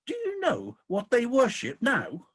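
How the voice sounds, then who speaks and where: A man's voice: very high voice, huge pitch swings, unhurried, slightly rough, heavily acted. An actor playing a weary, disillusioned ageing king of the gods in a comic radio play, with a grumbling, theatrical delivery. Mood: disillusioned, exasperated.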